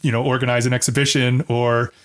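A man's voice: mid-range voice, level tone, fast talker, fairly bright tone. A middle-aged man hosting a casual podcast conversation in a joking, self-deprecating manner. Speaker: podcast host.

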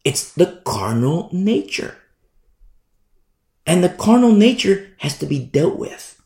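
A man preaching with a lively, swooping delivery and a gravelly edge to his somewhat high voice. He repeats key words again and again and acts out the people he talks about. The sound carries the echo of a roomy space. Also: slow. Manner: animated, repetitive preaching with mock quotes. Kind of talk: sermon. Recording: echoey room sound.

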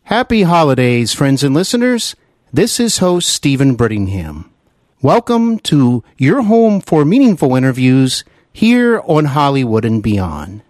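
A highish male host voice with very lively intonation, recorded dry and close. He delivers a scripted show introduction earnestly, leaning on key words. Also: no echo. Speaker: podcast host.

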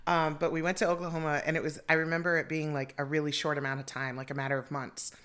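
A woman's voice: sits low, flat delivery, quick pace, a bit bright, clean tone. A middle-aged woman, a guest on a podcast, chatting casually about her life and laughing often. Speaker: podcast guest, dance and theater teacher. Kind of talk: casual interview chat.

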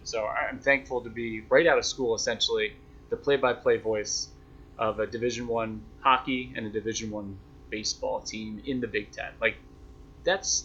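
Young man, reflective sports broadcaster guest, conversational, medium pitch, muffled lo-fi sound with some noise. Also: a bit bright. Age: young adult. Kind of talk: conversational interview answers. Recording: dull lo-fi sound, noisy background.

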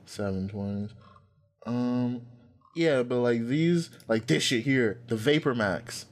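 Guy podcast host just rambling, lots of likes and ums, cusses a bunch, normal pitch. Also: slow pace. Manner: rambling, profane, filler-heavy. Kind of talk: casual chat.

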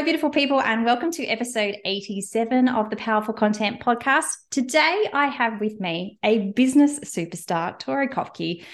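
A woman hosting a podcast interview, enthusiastic and effusive in her praise. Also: medium pitch. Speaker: podcast host.

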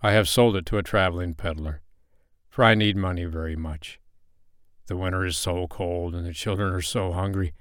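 A man's voice: low voice, normal speed, a bit bright, no room sound, clean studio sound. A man voicing a tired, poor father in a storybook, sad and resigned, with a grave, plain-spoken manner.

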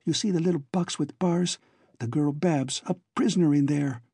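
A man's voice: sits high, normal speed, creaky, mellow, breathy edge, no room sound, clean studio sound, voice pushed up, heavily acted. A man voicing a young woman who speaks swiftly and vehemently in slightly broken English. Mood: urgent.

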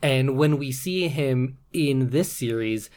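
A woman's voice: very deep voice, takes it slowly, a little mellow. A woman with a Canadian accent, co-hosting a casual podcast chat in a frank way, swearing now and then. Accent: Canadian English. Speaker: podcast co-host.

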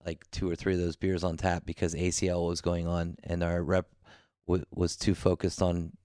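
A man's voice: sits low, monotone, moderate pace, dark tone, smooth, dry recording, studio quality. A man telling laid-back, rambling stories in a casual podcast chat, often restarting his sentences.

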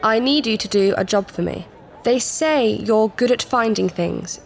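An actress playing a proud, secretive alien princess in disguise, formal and precise, in a sci-fi audio drama. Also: female voice, medium pitch, animated, measured pace, gravelly. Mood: earnest, businesslike. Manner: formal, precise, earnest, easily affronted.

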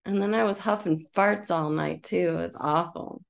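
A woman with a low, gravelly voice chats as a podcast co-host. She tells stories in a loose, joking way and swears freely. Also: normal speed. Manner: profane, joking. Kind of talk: casual chat and comic storytelling.

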